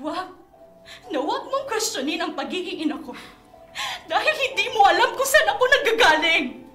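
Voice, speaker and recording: In a roomy, echoing space, a woman acts out a strict, bitter mother for a radio drama. Her voice is very high and bright, scolding and full of anguish. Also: big pitch swings, normal speed, exaggerated voice. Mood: angry, scolding. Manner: scolding, emotionally intense. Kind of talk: melodramatic radio drama acting. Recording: roomy echo, faint music behind.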